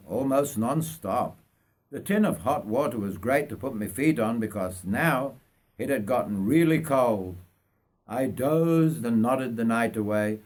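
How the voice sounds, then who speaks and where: Older man from Yorkshire, measured storytelling. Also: medium pitch. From North Yorkshire, England. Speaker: writer reading own life story. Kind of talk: narrated autobiographical story.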